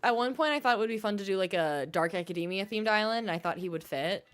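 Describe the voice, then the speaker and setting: A woman streaming a game, chatting fast and casually with her viewers. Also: mid-range voice, expressive, clear, resonant tone. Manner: fast-talking, chatty, bubbly.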